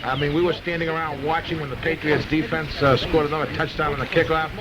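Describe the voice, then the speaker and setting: A man's voice: a little high, little pitch movement, fast talker, over a noisy crowd. A man hosting a casual sports call-in radio show, opinionated and emphatic, often repeating himself. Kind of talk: live sports talk radio, casual chat with callers. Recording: noisy vintage radio broadcast recording.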